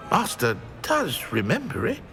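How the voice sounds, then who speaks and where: A fawning, stammering house-elf servant. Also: male voice, on the high side, huge pitch swings, measured pace, fairly bright tone, slight background noise, exaggerated voice. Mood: nervous, deferential. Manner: stammering, speaks of himself in third person, fawning. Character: timid, servile male house-elf servant, anxiously obedient. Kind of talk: video game character acting.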